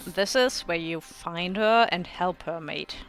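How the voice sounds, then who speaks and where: A man voicing a laid-back, blunt high school boy in a visual novel reading, with a casual manner. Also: slow pace, a bit bright, soft background music, heavily acted. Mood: reproachful.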